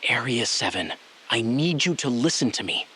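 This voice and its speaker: A man playing an uneasy, evasive ground controller in an audio drama, using halting, formal bureaucratic phrases. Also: mid-range voice, very animated, sharp tone, slight background noise, poor audio. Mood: tense, pleading. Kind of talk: scripted audio drama acting.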